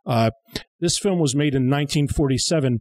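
Guy, 30s, sarcastic show host, slightly high lively voice, dry studio sound. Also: a little fast, dry recording. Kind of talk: humorous film commentary. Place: small studio. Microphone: close studio mic on boom arm.

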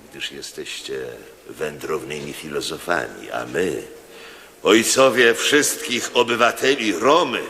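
An actor playing an imperious, skeptical Roman proconsul in a radio drama, speaking in a stately, declamatory way. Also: male voice, extremely low pitch, creaky, pushed and bright, a little hiss or hum, exaggerated voice. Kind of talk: theatrical radio drama acting.

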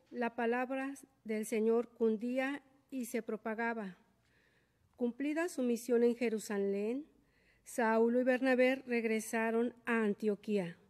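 Woman reading scripture slowly in a church. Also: fairly high pitch, fairly even intonation, darkish tone. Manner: slow, careful reading. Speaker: lector and psalm cantor. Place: large church, at a wooden lectern. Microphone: lectern microphone. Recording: long church reverb.

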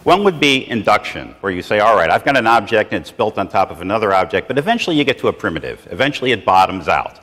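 A middle-aged man with a fairly low voice gives a loose, rambling conference lecture, leaning on "you know" as he goes. He is recorded in a lecture hall, and his voice trails off into a long reverb. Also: sing-song, quick pace, few pauses, a bit bright. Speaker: conference speaker. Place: lecture hall with projected slides.

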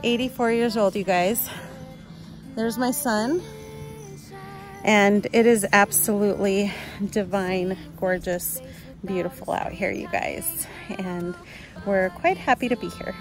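A woman's voice: medium pitch, steady tone, slow, long pauses, over music. A woman in her thirties narrating a casual vlog into a handheld phone, gushing as she addresses her viewers. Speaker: vlogger. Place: outdoors at a campground and inside cabins. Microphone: handheld phone at arm's length.

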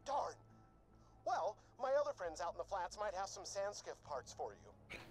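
A woman's voice: medium pitch, sing-song, moderate pace, natural voice. An actress voicing a chirpy, lonely young woman in a desert oasis, clingy and teasing, from a video game with music behind. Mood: playful.

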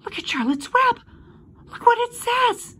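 Woman as a high-pitched excitable little girl. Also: rapid-fire. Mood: excited. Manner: crying out eagerly.

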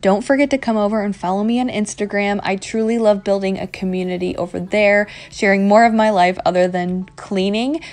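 A woman's voice: mid-range voice, fairly even intonation, fairly quick, hardly any pauses, darkish tone. A woman in her thirties giving a casual voice-over as a home cleaning vlogger, talking directly to viewers. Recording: music playing behind voice. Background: background music.

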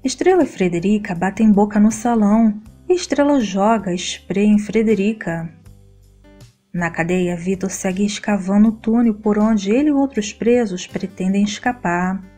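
A woman narrating a scripted soap opera episode recap in a steady, even read-aloud delivery. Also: medium pitch, moderate pace, soft background music.

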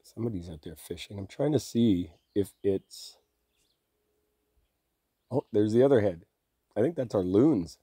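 A man playing an affectionate, easygoing boyfriend in an ASMR roleplay, speaking softly and drowsily in an intimate, unhurried way. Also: fairly low pitch, lively intonation, slightly rough, no echo, studio quality. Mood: quietly curious.

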